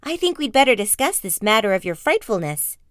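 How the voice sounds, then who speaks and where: A woman's voice: medium pitch, very animated, brisk, a bit bright, smooth, dry recording, clean studio sound. Sniglet, a plucky tiny hero, read by a woman. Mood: determined. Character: very small brave male animal, determined.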